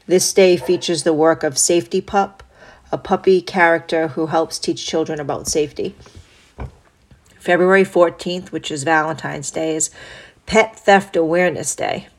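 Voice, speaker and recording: A woman hosting a solo podcast, stuffed up from a cold, reading through a list in a steady, even way with small asides. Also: deep voice, moderate pace. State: congested from a cold. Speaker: podcast host.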